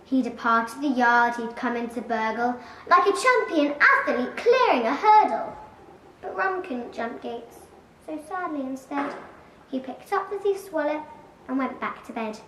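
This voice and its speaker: Young schoolgirl reciting poetry, highly animated, slow-paced, heard from a distance in an echoey room. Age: child, about 9 or 10. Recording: echoey room, distant mic, faint noise.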